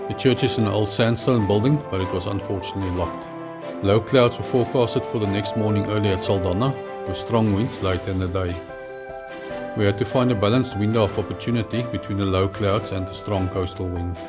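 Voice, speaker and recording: A man narrates a flying travel vlog in a low voice. His delivery is scripted, measured and factual, with music clearly playing behind him.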